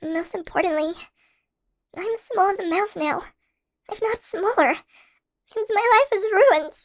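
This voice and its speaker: A woman's voice: very high-pitched, measured pace. A woman playing a tiny shrunken young college student, playful and affectionate, in a scripted audio roleplay. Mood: flustered, dismayed.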